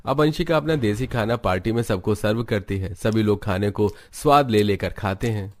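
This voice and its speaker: A man reading scripted narration for an animated family story. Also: fairly low pitch, normal speed.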